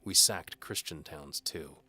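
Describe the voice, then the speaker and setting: A jaded medieval hired bowman acted by a man narrates his own tale with dry sarcasm. His voice is deep yet has a hard, forceful edge, wry and cynical in noir audio drama fashion. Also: slow pace, husky, full character voice. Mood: bitter, defiant. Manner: sardonic, wry.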